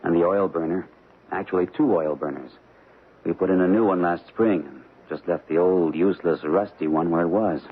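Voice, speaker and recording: Protective family man, very low voice, plain-spoken, matter-of-fact, vintage radio play, phone-like sound. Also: moderate pace, husky. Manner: conversational, explanatory, matter-of-fact. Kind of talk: old-time radio drama acting. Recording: band-limited, noisy old radio recording.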